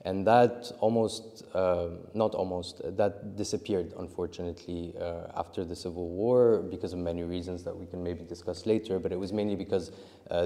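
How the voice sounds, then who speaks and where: A man's voice: low-pitched, warm tone, in a large hall. A young man answering interview questions about his film, rambling and restarting often as he talks. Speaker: interview guest, filmmaker.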